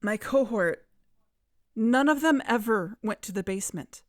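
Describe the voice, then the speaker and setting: A woman's voice: on the high side, lively intonation, unhurried. A woman playing a guarded, distrustful investigator in an audio drama, with a terse, serious, naturalistic delivery. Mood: doubtful.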